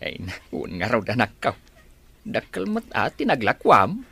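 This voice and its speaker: A man playing a kindly older father in an Ilocano audio drama, gentle and supportive with a husky voice. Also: mid-range voice, wildly swooping pitch, normal speed, full character voice. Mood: fond, encouraging.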